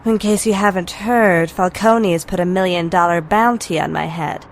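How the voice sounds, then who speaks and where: A woman's voice: on the low side, normal speed, close to an everyday voice. A woman playing a sly, seductive, coolly self-serving cat burglar in an audio drama, teasing and guarded. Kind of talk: fan audio drama acting. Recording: faint ambient noise behind voice.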